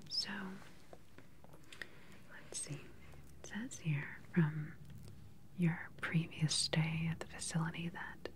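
A woman in her thirties whispering gently and methodically in an ASMR roleplay as a calm research doctor questioning a patient, close to the microphone. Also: sits low. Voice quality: breathy, unvoiced whisper.

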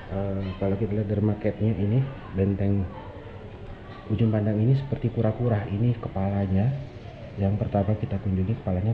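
Deep-voiced man from Makassar, casual tour vlogger, pointing out exhibits; tinny, echoey recording. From Makassar, Indonesia. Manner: pointing things out, many fillers. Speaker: vlogger touring a museum. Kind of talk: casual walking tour narration. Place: indoor museum halls of an old fort. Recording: tinny phone-like audio in echoey rooms with loud noise.

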